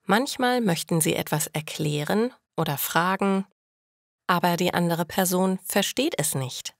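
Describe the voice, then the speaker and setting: Slow-spoken woman teaching in a dry booth. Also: on the low side, no room sound. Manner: slow and clearly articulated for learners. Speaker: language teacher. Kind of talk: slow scripted lesson narration.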